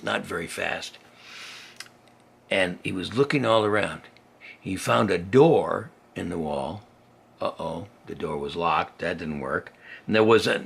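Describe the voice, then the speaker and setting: An older man, a grandfather reading a children's story aloud in a folksy, chatty way, with a gravelly, husky voice and simple asides as if to a small child. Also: fairly low pitch, a bit bright.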